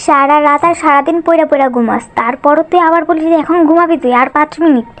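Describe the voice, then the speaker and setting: Cartoon mother, played by a woman: high voice, exasperated, nagging, sarcastic. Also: fast, soft background music, natural voice. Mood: angry, nagging. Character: scolding, exasperated mother of a lazy sleeper. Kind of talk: cartoon voice acting.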